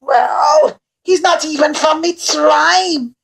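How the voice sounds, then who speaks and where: A woman's voice: sits high, takes it slowly, vocal fry, a bit bright, voice pushed up, exaggerated voice. A woman voicing a scornful, self-serving male butler in a children's puppet show, dismissive and scheming. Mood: eager, informing.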